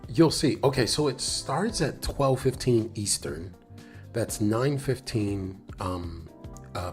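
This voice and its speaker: A man with a fairly low, slightly nasal voice hosts a casual live stream over music. His intonation swings widely as he chats straight to his viewers. He is enthusiastic and rambles, often doubling back on his phrases. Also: a little mellow. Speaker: live stream host, developer advocate.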